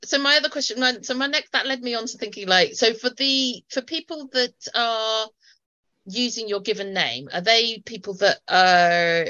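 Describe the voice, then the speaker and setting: A woman's voice: a little high, moderate pace, bright, forceful tone, dull audio. A woman co-hosting a podcast in casual chat, asking questions while thinking aloud with restarts. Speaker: podcast co-host.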